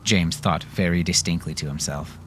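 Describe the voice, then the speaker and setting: A man's voice: low-pitched, fairly even intonation, normal speed, a bit bright, a little hiss or hum. A man narrating scripted fiction dramatically, as an audiobook narrator.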